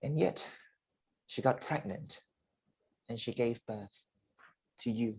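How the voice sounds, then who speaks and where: A man playing a weary, dying father in a staged play reading, speaking deliberately and with open emotion. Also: medium pitch, husky. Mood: solemn, confiding. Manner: slow, deliberate, emotive delivery. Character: dying, confined father, weary, pleading and confessional.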